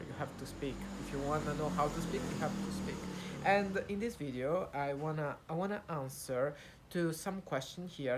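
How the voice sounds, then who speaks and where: A young Italian man talking to camera in English, his second language, with an Italian accent, pausing often with fillers and restarts as he searches for words. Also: on the high side, background noise. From Italy. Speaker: vlogger. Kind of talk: casual talk to camera, practising English.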